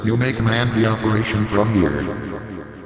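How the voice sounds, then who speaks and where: A man's voice: fairly low pitch, animated, moderate pace, very echoey, in a large hall, crowd noise, close to an everyday voice. A man playing a formal, deferential military lieutenant reporting to a superior in an audio drama. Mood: businesslike.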